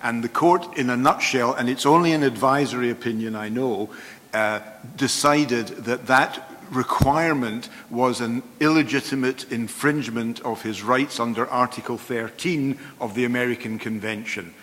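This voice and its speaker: Wry law professor in a reverberant hall. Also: male voice, mid-range voice, normal speed, fairly bright tone. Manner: dry, self-deprecating, precise. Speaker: panel expert, law professor. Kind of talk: conference panel remarks. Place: large conference hall. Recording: long-reverb conference hall.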